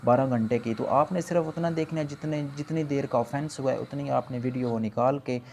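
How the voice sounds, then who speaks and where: A man with a Pakistani accent lecturing as a law teacher, explaining point by point and mixing in English terms, reading quoted points slowly and deliberately. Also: medium pitch, fairly even intonation, vocal fry, darkish tone, slight background noise.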